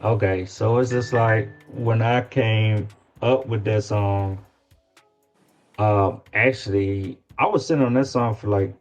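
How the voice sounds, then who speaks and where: A man with a Louisiana accent talks casually as an interview guest in a lowish voice. He speaks haltingly and circles back over his phrases, with music faintly behind him. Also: steady tone, muffled recording. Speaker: guest rapper. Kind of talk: casual chat.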